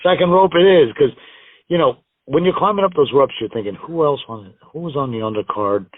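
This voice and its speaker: A man's voice: fairly high pitch, a little fast, dry recording. A man from the United States, a wrestler guest, telling stories in a rambling, self-deprecating way and laughing often. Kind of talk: casual interview storytelling. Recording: tinny dry phone line.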